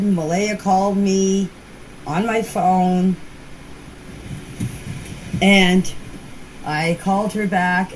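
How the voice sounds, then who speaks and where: A woman's voice: medium pitch, darkish tone. An older woman informally recounting events in a hesitant, repetitive way, as if giving her own account in voice messages. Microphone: phone voice messages. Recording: noisy amateur voice message recording.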